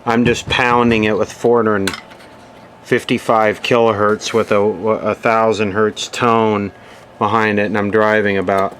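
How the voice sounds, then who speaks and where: A man's voice: fairly low pitch, little pitch movement, measured pace, fairly bright tone, a little hiss or hum. A man giving a casual, matter-of-fact running commentary as he does hands-on repair work, thinking aloud. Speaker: electronics repair video host.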